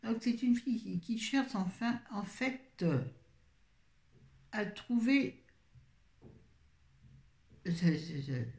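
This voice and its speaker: Woman, book vlogger, chatty, rambling, mellow voice, echoey room. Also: mid-range voice, very animated, extremely slow, gravelly, long pauses. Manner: rambling, chatty, self-interrupting. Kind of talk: casual book review chat. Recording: roomy echo.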